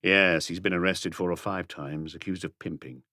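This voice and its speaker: A man voicing a calm, ironic police inspector who questions in an unhurried, probing way in a mystery audiobook. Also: sits low, no echo, clean studio sound, pitched below the performer's own voice.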